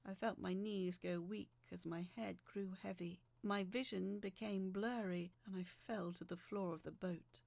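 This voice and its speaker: A woman's voice: mid-range voice, creaky, a little mellow, no echo. A young woman performing a dramatic first-person horror narration in an emotive, suspenseful way.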